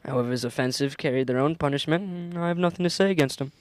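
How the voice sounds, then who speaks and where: A man's voice: on the high side, quick pace, smooth, dry recording, lightly acted. A man acting a good-natured, cheerful nephew in a radio play, genial and forgiving.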